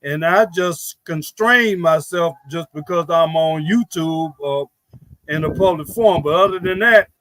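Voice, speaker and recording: A man's voice: a little high, unhurried, fairly bright tone, a little hiss or hum, poor audio. A man speaking as a guest on a live online interview, blunt and emphatic. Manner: blunt, emphatic, plain-spoken.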